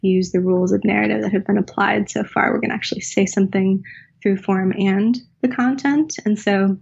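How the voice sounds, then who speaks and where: A woman's voice: mid-range voice, steady tone, brisk, gravelly, darkish tone, husky. A woman writer and teacher from the United States, answering interview questions in a thoughtful, searching way. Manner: thoughtful, searching, with restarts and filler. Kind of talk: reflective conversational interview. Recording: dull lo-fi recording.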